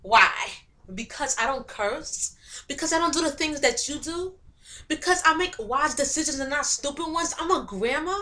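A woman playing a picked-on but principled young woman who stands her ground in a monologue, speaking in a measured, pointed way. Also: high-pitched, lively intonation, sharp tone, voice pushed up. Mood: defiant.

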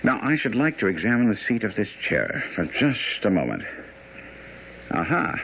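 A man's voice: lowish voice, very animated, moderate pace, creaky. A man acting a shrewd, commanding detective in a radio mystery, with precise, authoritative, theatrical delivery. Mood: musing, grave. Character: shrewd, commanding English detective, deliberate and incisive. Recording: muffled radio broadcast, faint noise.

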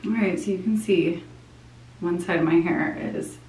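A woman's voice: on the low side, fairly even intonation, measured pace, echoey room, heavy background noise. A young woman in her twenties chatting casually to the camera in a vlog, full of "like" fillers, filmed at home and in her car. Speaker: vlogger. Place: small apartment bathroom and car.

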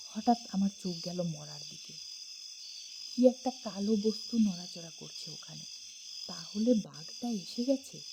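A woman reading a scripted first-person suspense story with dramatic, expressive storytelling. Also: mid-range voice, creaky, background noise. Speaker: audiobook narrator.

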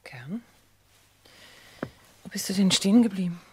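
An actress playing a modest, dutiful grown daughter in a German radio drama, gentle and solicitous in manner. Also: female voice, medium pitch, expressive, unhurried, a little hiss or hum, close to an everyday voice. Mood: polite, attentive.

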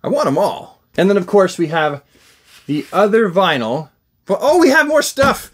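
A middle-aged man hosting a casual, enthusiastic unboxing vlog, talking in an animated, exclaiming way. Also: high-pitched, moderate pace, husky. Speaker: unboxing review host. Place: small indoor room lined with shelves of collectibles.

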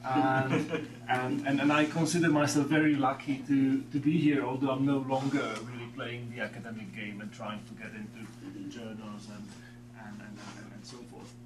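A middle-aged man with a Slovak accent delivers an academic talk in a seminar room, stumbling over repeated words as he finds his way. His voice sits at an ordinary pitch for a man. It is caught from a distance, with room echo and a constant hum. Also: level tone. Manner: halting, repeats words often while searching. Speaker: guest lecturer. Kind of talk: academic lecture. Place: seminar room with a long table. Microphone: far room camera. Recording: echoey room from far off, background hum.

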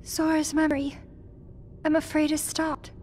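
Solemn, high-voiced delicate girl. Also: flat delivery, a little slow, darkish tone, slight background noise. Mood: solemn, anxious. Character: solemn, delicate young girl bearing bad news. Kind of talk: video game cutscene acting.

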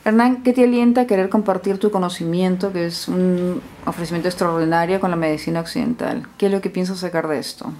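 Low-voiced woman asking drawn-out questions. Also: steady tone, darkish tone, slight background noise. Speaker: interviewer. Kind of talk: interview questions.

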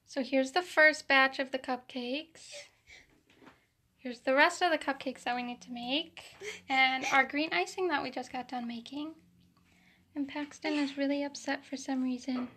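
A woman's voice: high-pitched, a little slow, pauses often, sharp tone. A young woman in her twenties, a mom vlogger, chatting casually to her camera and toddlers at home. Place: small home kitchen and playroom, indoors. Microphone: camera on a tripod. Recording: noisy home video.

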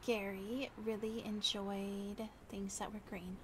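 A woman's voice: medium pitch, level tone, takes it slowly, a little hiss or hum. A woman chatting casually during in-game roleplay as a streamer, hesitant and often thinking aloud.

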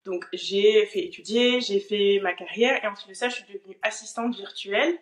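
A woman's voice: mid-range voice, normal speed, reverberant. A woman in her thirties from France, answering interview questions thoughtfully with many fillers over a video call. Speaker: guest, online business manager.

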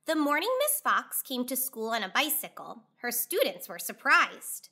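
High, bright woman reading a children's storybook. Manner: animated, clearly enunciated, deliberate delivery. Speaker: children's read-aloud host. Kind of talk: expressive storybook narration for children.

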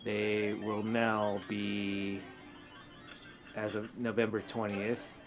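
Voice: mid-range voice, completely flat delivery, extremely slow. A gaming show co-host chatting casually while playing, in short, offhand remarks. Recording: game music and TV sound behind. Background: video game music from a television.

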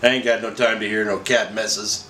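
A man's voice: on the low side, little pitch movement, moderate pace, pushed and bright. A man voicing a weary, bossy burglar from a comic story, curt and slangy. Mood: threatening. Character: gruff, weary male burglar, bossy and irritable. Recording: roomy echo, faint background noise.

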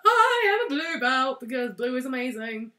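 Young woman, bright voice, excitable game commentary, roomy echo. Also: high-pitched, moderate pace. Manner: excitable, shouts and squeals at wins and losses. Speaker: let's play host. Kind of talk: casual gameplay commentary.

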